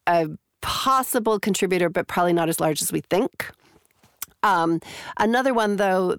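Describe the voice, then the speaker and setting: Canadian woman with a gravelly low voice. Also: no room sound, studio quality. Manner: thoughtful, many fillers and restarts. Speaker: psychology professor and guest. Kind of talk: interview answers.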